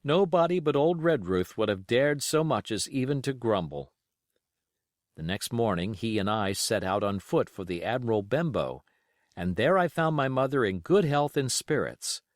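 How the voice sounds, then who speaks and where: Expressive man narrating, with lively swooping pitch. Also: dry recording, studio quality. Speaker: audiobook reader. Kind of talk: expressive literary narration of a classic adventure novel.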